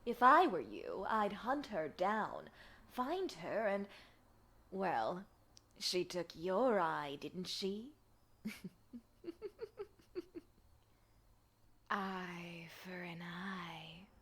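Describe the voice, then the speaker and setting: A woman's voice: lowish voice, very animated, very slow, a bit bright, husky, heavily acted. A woman voicing a deranged, sadistic female assassin devoted to her queen in an animation fandub, with a menacing, sing-song delivery. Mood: sinister, playful. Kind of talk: dramatic animation fandub acting.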